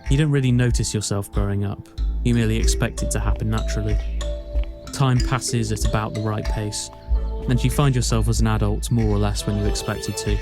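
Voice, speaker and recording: Male actor as detached young student narrator, lowish voice, wry, measured, film voice-over, prominent instrumental music. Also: steady tone, natural voice. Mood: wry, reflective. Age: young adult. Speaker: narrator and lead character of a short film. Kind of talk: scripted narration. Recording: music behind the voice.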